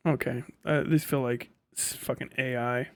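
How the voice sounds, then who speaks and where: A man's voice: mid-range voice, fairly even intonation, normal speed, creaky, no echo, clean studio sound. A man chatting casually as a live streamer, rambling and sardonic, reading things aloud and swearing offhandedly.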